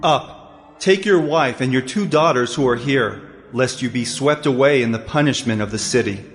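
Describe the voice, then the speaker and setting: A man's voice: medium pitch, moderate pace, close to an everyday voice. A man playing a stern angel, grave and commanding, in a dramatized scripture reading. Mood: grave, urgent. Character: stern, commanding male angel sent to destroy a city. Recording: long reverberant echo, music behind.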